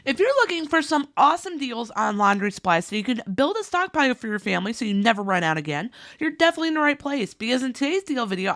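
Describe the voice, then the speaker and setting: A woman's voice: a little high, fairly bright tone. A woman, hosting a couponing channel and chatting through deals while losing her voice. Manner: bubbly and chatty, listing items and prices. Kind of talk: upbeat scripted deal rundown.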